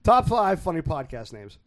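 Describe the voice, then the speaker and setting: A man's voice: on the high side, sing-song, unhurried, a crowd in the background. A man hosting a casual podcast chat, joking and laughing often with his friends. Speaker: podcast host.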